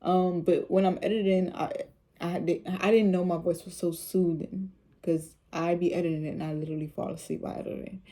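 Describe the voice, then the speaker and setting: A woman with a low, soothing voice, speaking casually and with rambling repeats as she talks about faith on her vlog. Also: fairly even intonation, fairly quick, dark tone. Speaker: vlogger.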